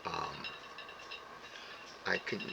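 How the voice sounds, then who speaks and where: An older man with a Cajun accent, talking slowly with many pauses and restarts as he describes his heritage. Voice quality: gravelly, plain-spoken. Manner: halting, with many "uh" pauses and repeated words. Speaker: storyteller sharing heritage. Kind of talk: slow, rambling talk to camera. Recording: dull lo-fi recording with background hiss.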